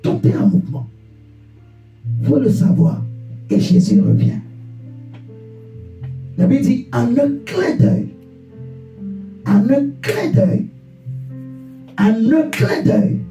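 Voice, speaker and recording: High-pitched male preacher, emphatic and repetitive. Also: big pitch swings, slow, vocal fry, long pauses, dark tone. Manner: emphatic, repeats phrases for effect; exhorting, puts rhetorical questions to listeners. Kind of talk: sermon. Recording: echoey room, distant mic, faint music.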